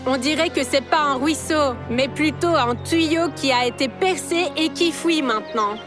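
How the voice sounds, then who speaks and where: A woman's voice: high-pitched, normal speed, natural voice. A woman voicing a sensible, encouraging dinosaur team member in a cartoon dub. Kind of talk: animated cartoon dub acting. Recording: music behind the dialogue.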